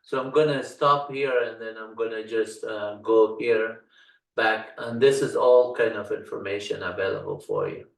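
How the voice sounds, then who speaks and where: An older Dari-speaking man walks through a software tutorial in a halting way, stopping often on "uh". His voice sits a little low, and it sounds roomy and set back from the microphone. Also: expressive, gravelly. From Afghanistan. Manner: halting, frequent "uh" fillers, folksy. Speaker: computer science instructor. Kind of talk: screen-share software tutorial walkthrough. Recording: roomy sound, distant from mic.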